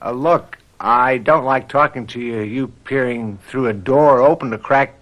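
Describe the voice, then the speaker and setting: An actor playing a brisk, businesslike country game warden in an old-time radio drama, plain-spoken and matter-of-fact. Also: male voice, medium pitch, dull audio, natural voice. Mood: businesslike, impatient.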